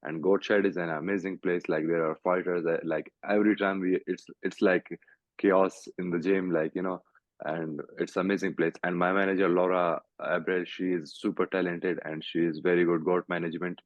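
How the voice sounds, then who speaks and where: Pakistani guy on a video call, really low voice, kinda tinny and dry, halting english with lots of "like, you know". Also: little pitch movement, no room sound. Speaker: guest fighter. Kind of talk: casual interview over video call.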